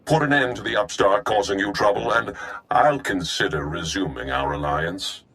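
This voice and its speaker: A cold villain lord from a science-fiction game. The voice is very deep, with dramatic rises and falls. He speaks formally, with imperious menace. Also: animated, moderate pace, a bit bright. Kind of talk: video game voice acting.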